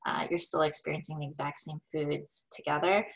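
An upbeat woman in her forties answers questions over a webcam from a home office. Her voice sits a little low. The call audio is dull and lo-fi, and she talks warmly with the odd "uh" between thoughts. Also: flat delivery, a little fast, creaky. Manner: upbeat, thoughtful, with fillers and laughs. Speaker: small business owner, keynote guest. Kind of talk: conversational Q&A answers. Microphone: video call, webcam.

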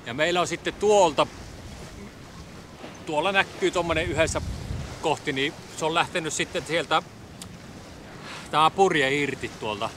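A man's voice: a little high, long pauses. A middle-aged man from Finland casually narrating his sailing trip to an action camera, thinking aloud with plenty of fillers. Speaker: sailing vlogger. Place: outdoors on a sailboat cockpit under a canopy. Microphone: action camera mounted on the boat. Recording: wind and water noise behind.

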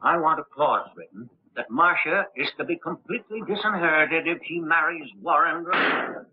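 A stern, uneasy elderly patriarch in a 1930s film. His voice is a bit rough, and the narrow old soundtrack makes it sound telephone-like. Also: fairly high pitch, normal speed. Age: older. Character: troubled, stern elderly wealthy uncle making his will.

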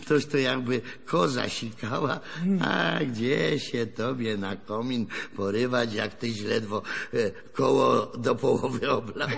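Gravelly, husky old storytelling grandfather. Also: mid-range voice, big pitch swings, takes it slowly, heavily acted. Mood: amused, boastful. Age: older. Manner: rambling folksy storytelling, chuckling, rustic colloquial speech. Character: folksy old grandfather, railwayman's son, wistful and wry storyteller. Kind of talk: radio drama acting. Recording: long hall reverb.

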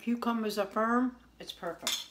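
A woman's voice: on the high side, moderate pace, very noisy. A woman in her forties hosting a casual home cooking video, chatty and homey as she prepares and tastes a salad in her kitchen. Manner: chatty, homey, tasting and praising the food as she goes. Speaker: home cooking show host. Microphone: camera on the counter at arm's length.

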